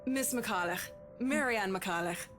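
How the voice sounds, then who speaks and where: A woman's voice: medium pitch, slow, a bit bright, faint music behind. An actress playing a cool, haughty professional woman interior designer in a visual novel game.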